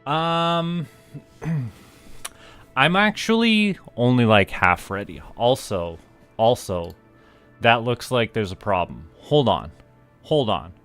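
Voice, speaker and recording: A man chatting casually on a livestream as host, rambling and halting in asides to viewers. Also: medium pitch, sing-song, a bit bright, faint music behind. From Canada. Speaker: streamer, VTuber host.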